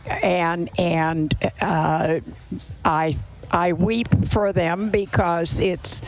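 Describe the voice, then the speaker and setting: Gravelly, slow-talking woman in her nineties. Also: on the low side, steady tone. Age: 90s. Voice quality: gravelly, creaky. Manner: halting, unhurried, plain-spoken. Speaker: podcast guest, host's mother. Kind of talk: casual conversational interview.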